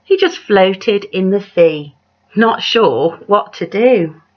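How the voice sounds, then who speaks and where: A woman reading a children's picture book aloud like a teacher, dramatic and measured, putting questions to her young listeners. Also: mid-range voice, animated. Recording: dull, lo-fi sound.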